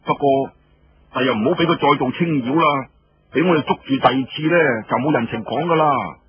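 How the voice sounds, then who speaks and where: A man's voice: medium pitch, brisk, muffled recording, background noise, natural voice. A man voicing a brash, teasing woman general with a Cantonese accent, blunt and mocking in a radio story. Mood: reassuring, brisk. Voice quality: husky.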